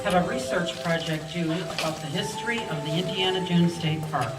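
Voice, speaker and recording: A woman's voice: lowish voice, moderate pace. A woman reading scripted narration for a radio play. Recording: echoey stage, distant mic, loud music behind.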